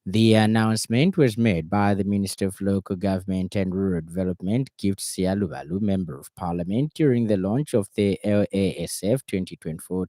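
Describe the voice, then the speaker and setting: A man with a Zambian accent reading a scripted radio news bulletin in a formal, measured manner. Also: on the low side, warm tone, dry recording, clean studio sound. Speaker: news reader. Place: radio studio.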